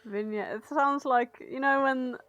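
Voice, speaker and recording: A woman's voice: high-pitched, a little slow, a bit bright, dry recording, highs cut off. A woman from southern England co-hosting a podcast, bantering casually and comically in a sweary, playfully bewildered way. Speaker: podcast co-host.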